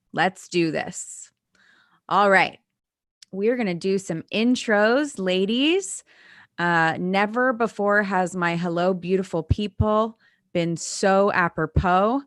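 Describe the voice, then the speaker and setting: A woman's voice: on the low side, no room sound, studio quality. A woman moderating an online panel with an upbeat, scripted delivery and gushing greetings. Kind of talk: hosting an online panel discussion.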